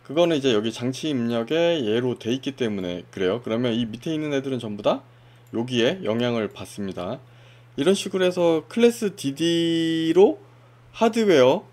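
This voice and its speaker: Man, software tutorial presenter, casual step-by-step explaining, animated pitch, mid-range voice. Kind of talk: screen-recorded software walkthrough.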